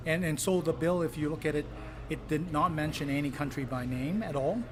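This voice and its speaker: A man from Hong Kong, a politician giving earnest interview answers with many pauses and fillers. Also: fairly high pitch. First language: Cantonese. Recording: clip with noisy ambience.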